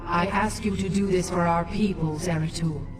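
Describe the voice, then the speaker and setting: A woman's voice: on the low side, steady tone, normal speed. A woman playing an ancient, dignified alien matriarch in a video game, formal and solemn, with an echoing effect. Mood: solemn, commanding. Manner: formal, stately. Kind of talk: dramatic video game acting. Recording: echoey, distant, loud music behind.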